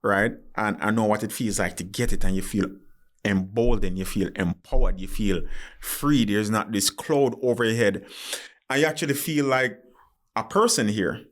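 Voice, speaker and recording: This is a man with a fairly low voice who hosts a conversational podcast interview. He speaks in an earnest, reflective way. He keeps the talk moving with frequent short acknowledgements. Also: normal speed. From Jamaica. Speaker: podcast host.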